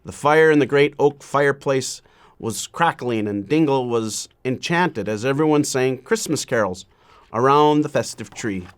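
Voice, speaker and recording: A man reading a children's story aloud in a measured, deliberate way, pausing often between phrases. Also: mid-range voice, expressive.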